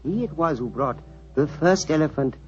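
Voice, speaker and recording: An actor playing a suave, courtly Indian prince with a formal, ornate and smoothly deferential manner, in 1940s radio style. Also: male voice, fairly high pitch, expressive, moderate pace, a little mellow, faint music behind, close to an everyday voice. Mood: grave storytelling. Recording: muffled 1940s radio recording.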